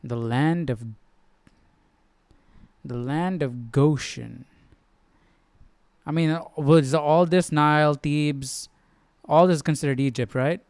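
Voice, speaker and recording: A man hosting a Bible study stream in casual, slangy chat, halting as he thinks aloud. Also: on the high side, a little mellow, clean tone, dry recording, clean studio sound. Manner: informal, slangy, halting as he works things out.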